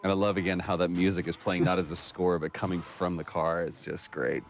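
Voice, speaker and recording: A man's voice: deep voice, level tone, brisk, vocal fry. A man giving casual, unscripted commentary in an appreciative, enthusiastic manner. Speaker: commentary participant.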